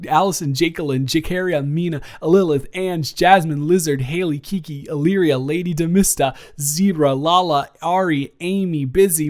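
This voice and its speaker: A man, the channel's audio creator, reading out a list of supporter names in a steady, rhythmic way. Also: a little high.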